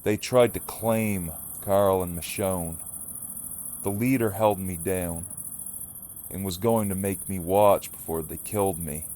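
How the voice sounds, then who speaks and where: A man in an audio drama playing a grim, wary leader of a survivor group, speaking in a measured, intense manner. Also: sits low, steady tone, a little mellow, slight background noise. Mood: grim, confiding.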